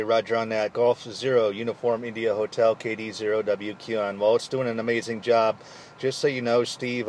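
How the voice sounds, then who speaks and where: A man operating a mobile ham radio from his vehicle, reading call signs clearly and talking through his gear in a friendly way. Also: on the low side, a little hiss or hum. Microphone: handheld radio microphone.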